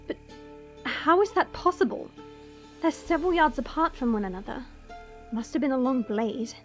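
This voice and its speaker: Woman as dragon princess, high voice, haughty, indignant, audio drama, music behind. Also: lively intonation, moderate pace. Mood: serious. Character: sharp-eyed young dragon princess, haughty toward humans. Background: background music.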